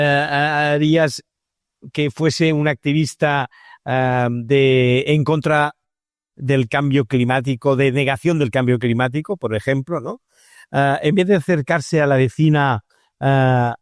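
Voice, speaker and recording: Man, medium pitch, interviewer, conversational, discursive, frequent tag questions, no room echo. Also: clean studio sound.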